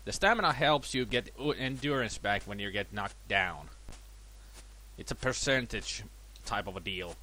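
Chatty gamer with a worn, cold-tinged voice. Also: male voice, moderate pace, slight background noise. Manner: chatty, self-deprecating, lively swings in pitch. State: has a cold, voice a bit worn. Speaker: let's play gamer. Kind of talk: casual gameplay commentary.

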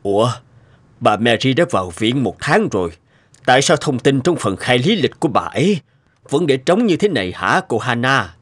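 A man voice-acting family men and bosses in an animated moral tale. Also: mid-range voice, moderate pace, breathy edge. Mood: concerned, businesslike.

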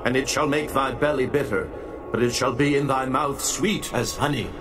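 An actor playing a solemn male angel in a dramatized scripture recording, speaking slowly and gravely. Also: medium pitch, steady tone, noisy background, close to an everyday voice. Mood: commanding. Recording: distant voice over music.

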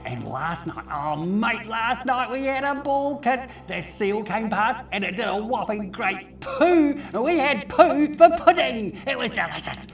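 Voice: medium pitch, very animated, a little slow, hardly any pauses, echoey room, far from the mic, faint music behind. A performer voicing a boastful, laid-back, cheerful crab in a children's puppet show, matey and colloquial. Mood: enthusiastic. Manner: matey, bragging, repetitive, colloquial.

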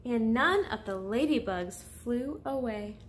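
A woman with a fairly high voice hosts a story time for children. She reads in picture-book narration style and addresses her young listeners directly. Faint background noise sits behind her voice. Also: lively intonation, slow.

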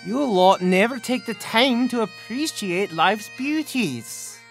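A man's voice: high voice, animated, slow pace, gravelly, soft background music, full character voice. A man voicing a world-weary, scolding old-world character, chiding and wistful, for a demo reel. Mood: weary reproach.

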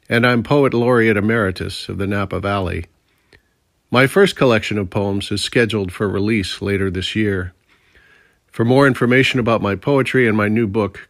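A man's voice: on the low side, fairly bright tone, dry recording, clean studio sound. An American man in his sixties, a poet, speaking from a prepared text in a reading style. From Pittsburgh, Pennsylvania, USA. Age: 60s. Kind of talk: prepared introduction and poetry reading.

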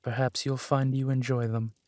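An actor playing a protective villainous duke, tender and soothing, in an intimate audio roleplay. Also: male voice, medium pitch, flat delivery, no echo, close to an everyday voice. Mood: proud, menacing. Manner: gentle, soothing, unhurried.

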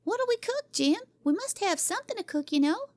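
A woman voicing a bossy, excitable little girl in a storybook reading, shrill and commanding. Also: fairly quick, no echo, studio quality, exaggerated voice. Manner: shrill, imperious, gleeful.

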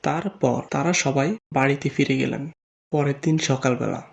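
A man's voice: medium pitch, moderate pace, gravelly. A man narrating a scripted religious story as a storyteller.

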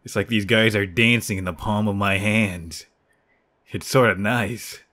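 A man's voice: on the low side, fairly even intonation, measured pace, bright, forceful tone. A man voicing a self-conscious, defensive young male gamer in a manga dub. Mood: smug, bashful.

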